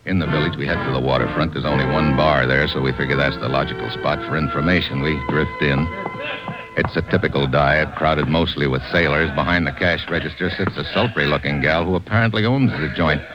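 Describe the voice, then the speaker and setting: Very deep hard-boiled American fugitive. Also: male voice, brisk, over loud music. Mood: tense narration. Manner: clipped, slangy, hard-boiled. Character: tough, wisecracking American man on the run. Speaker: narrator and lead actor. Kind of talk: 1950s radio adventure acting, hard-boiled narration. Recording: noisy, muffled old radio recording.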